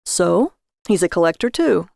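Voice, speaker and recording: A boyish, defensive husband from an audio story, played by a woman reader. He protests earnestly and eagerly, with a creaky edge to the voice, recorded close and dry. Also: lowish voice, big pitch swings, normal speed, a little mellow, no echo. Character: defensive, childish husband, eager obsessive bargain collector.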